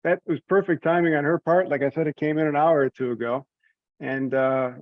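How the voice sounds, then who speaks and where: A man with a noticeably creaky voice presents informally in an online meeting. He hesitates and thinks aloud as he goes. The sound is thin and phone-like, with a dry, close feel. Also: a little high, dry recording. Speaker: telecon presenter walking through slides. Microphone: online conference call. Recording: narrow tinny conference-call line.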